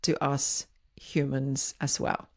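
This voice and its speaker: A woman's voice: very deep voice, flat delivery, takes it slowly, vocal fry. A woman with a Finnish accent hosting a podcast, speaking informally on her own and often rephrasing herself mid-sentence. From Finland. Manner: hesitant, rephrases and repeats words mid-sentence. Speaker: podcast host. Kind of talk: informal solo podcast monologue.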